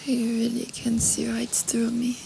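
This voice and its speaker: A woman playing a gentle French roommate who hides her sadness, speaking in a hesitant, breathy half-whisper with a French accent in an intimate roleplay audio drama. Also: on the high side, monotone, measured pace, noisy background. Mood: wistful.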